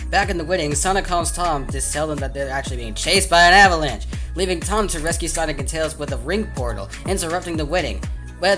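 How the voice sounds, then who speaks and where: A man narrating a fan's video review from a script with casual asides, enthusiastic and stumbling over words now and then. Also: on the high side. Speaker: film reviewer.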